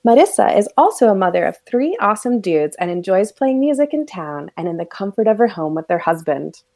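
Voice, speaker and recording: A middle-aged woman hosts a business podcast in a mid-pitched voice, reading a guest's introduction before easing into upbeat, friendly conversation. Her delivery is clear and ordinary in pitch. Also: very animated, fairly quick, dark tone. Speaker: podcast host. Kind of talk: reading a guest introduction, then casual chat.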